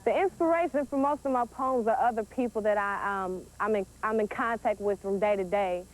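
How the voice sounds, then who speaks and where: A woman's voice: medium pitch, fairly quick, rough recording. An eighteen-year-old American woman, a poet introducing herself and reciting spoken-word verse. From Wisconsin, United States. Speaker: teen poet.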